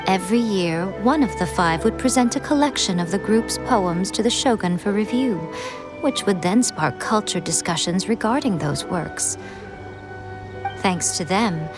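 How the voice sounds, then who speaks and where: A woman's voice: mid-range voice, close to an everyday voice. A woman voicing an elegant, sly, teasing priestess in an anime game, with a poised, playful, condescending manner. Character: elegant, sly, teasing adult noblewoman, shrine priestess and publisher. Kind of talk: anime game character acting. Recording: music behind the voice.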